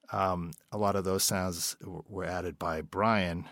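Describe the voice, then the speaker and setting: A man's voice: low voice, fairly even intonation, slow pace, fairly bright tone, dry recording, studio quality. A man being interviewed about producing an audio drama, talking in a rambling, hesitant way. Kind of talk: interview guest.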